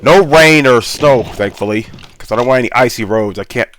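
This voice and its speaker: A man's voice: mid-range voice, a little fast, a bit bright, a little hiss or hum. A congested man with a sore throat, rambling in a laid-back way as he hosts gameplay commentary over a headset mic. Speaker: gaming channel host.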